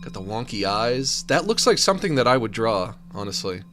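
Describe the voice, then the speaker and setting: A man's voice: lowish voice, sing-song, fairly quick, a bit bright. A man with a general American accent, rambling goofily while streaming a video game, often laughing at his own jokes. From New England. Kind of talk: casual live game commentary. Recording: game music playing behind.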